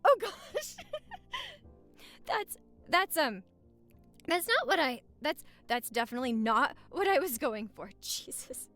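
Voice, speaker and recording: A woman's voice: sits high, big pitch swings, slow, pauses often, bright, forceful tone, soft background music, exaggerated voice. A woman acting a playful, lovestruck newlywed wife in a romantic audio roleplay, teasing and flirting in intimate, easily flustered banter.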